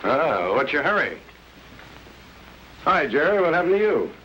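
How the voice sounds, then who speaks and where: An actor playing a breezy but authoritative, probing police lieutenant in an old-time radio drama. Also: male voice, on the high side, very animated, a little slow, muffled recording, slight background noise. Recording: old radio broadcast with music.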